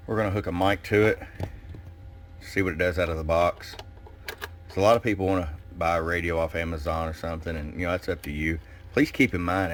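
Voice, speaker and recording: A man giving a casual, hands-on product review in a folksy, unhurried way with pauses. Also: sits low. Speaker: shop owner presenting product. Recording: clear background noise and room ambience. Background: background noise.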